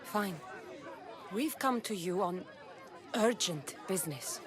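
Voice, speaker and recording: A woman's voice: medium pitch, expressive, takes it slowly, a crowd in the background. A woman playing a proud mercenary woman in a video game, with blunt, dry, clipped replies. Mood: irritated, firm. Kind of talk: video game cutscene acting.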